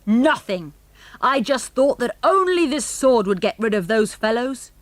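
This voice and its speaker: A woman's voice: on the high side, very animated, unhurried, no room sound, pitched above the performer's own voice. A woman voicing a mischievous, defiant old great-grandfather in a storybook, exclaiming with glee. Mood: gleeful.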